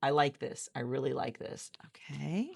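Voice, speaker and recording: Low, creaky-voiced woman in ASMR interview roleplay. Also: expressive, moderate pace.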